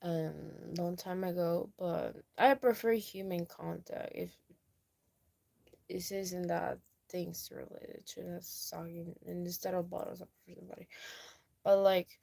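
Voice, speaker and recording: Young woman, low creaky voice for a rambling chat vlog. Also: fairly even intonation, measured pace, warm tone. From Peru. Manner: meandering, lots of fillers. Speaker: YouTuber.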